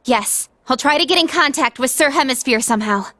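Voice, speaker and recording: High, lively young heroine. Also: female voice, brisk. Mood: sincere. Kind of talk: video game cutscene acting.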